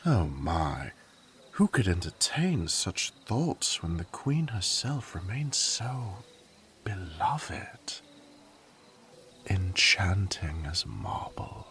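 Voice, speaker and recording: Casting: actor as a deep-voiced, slow-talking loyal courtier for fantasy audio drama. Theatrical, silver-tongued, dryly teasing. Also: male voice, big pitch swings, a bit bright, a little hiss or hum, full character voice. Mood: smooth flattery.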